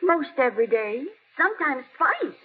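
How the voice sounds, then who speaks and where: An American actress playing a quaint, prim older small-town spinster, earnest and chatty, in a 1930s radio comedy-drama. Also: female voice, high-pitched, big pitch swings, normal speed, a little hiss or hum. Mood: earnest, matter-of-fact. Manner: quaint, earnest, matter-of-fact. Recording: narrow-band old radio broadcast.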